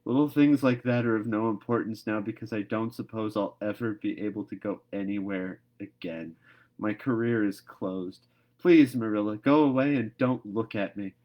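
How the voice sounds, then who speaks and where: A man's voice: on the low side, moderate pace, muffled recording, natural voice. Storybook role: male reader as young girl, melodramatic, pleading, full of theatrical despair.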